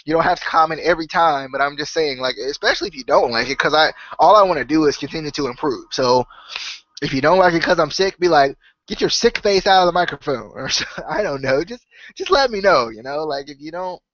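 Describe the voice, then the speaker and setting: A man with a head cold talks casually to his podcast listeners in a nasal, blocked-up voice that sits slightly high for a man. He rambles, circling back with fillers and restarts. The sound is dry and a little muffled, like a cheap home mic. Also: lively intonation, fairly quick, fairly bright tone, dry recording. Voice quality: nasal, congested. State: stuffed up with a cold. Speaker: podcast host. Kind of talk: casual solo chat to subscribers.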